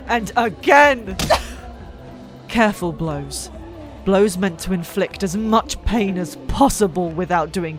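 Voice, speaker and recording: Slow, dramatic fiction narrator. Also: medium pitch. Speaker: audiobook narrator. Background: soft background music.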